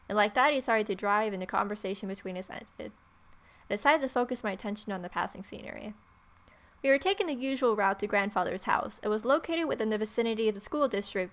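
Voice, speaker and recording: A young adult woman hosting a let's play, reading visual novel narration aloud in an irreverent, expressive way. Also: medium pitch. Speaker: gaming video host.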